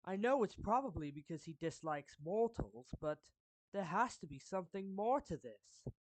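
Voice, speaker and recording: A performer voicing a suave, courtly villain turned gently protective friend in an anime fan roleplay, speaking formally and deliberately with pauses mid-phrase. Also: medium pitch, animated, no echo, close to an everyday voice, rough recording. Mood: reassuring, curious. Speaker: character voice actor. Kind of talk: anime fan roleplay monologue addressed to the listener.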